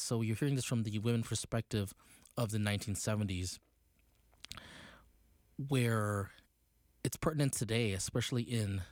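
A man in his late forties, a community news reporter, reviewing a play in an unhurried, halting way with frequent fillers. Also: fairly low pitch, darkish tone, no echo, clean studio sound. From United States.

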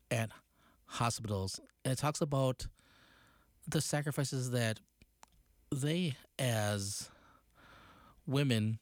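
A man in his late forties talks through a play he has just seen, pausing often and filling the gaps with "uh". His voice is mid-pitched and plain, recorded dry and close with no room sound. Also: dry recording. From United States. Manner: unhurried, halting with fillers. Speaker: community news reporter. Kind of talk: review of a play.

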